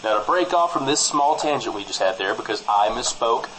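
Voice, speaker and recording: A man playing an apologetic small-town broadcaster in improvised comic radio banter, halting and over-formal on a studio mic. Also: medium pitch, lively intonation, noisy background, close to an everyday voice. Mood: mock-serious. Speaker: co-host of a fictional small-town news show.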